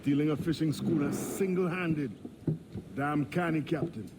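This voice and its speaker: A stern, weary pirate first mate from a video game played by a man. His voice runs slightly high, with clear background noise. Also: fairly even intonation, moderate pace, natural voice. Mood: approving. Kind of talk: video game cutscene acting.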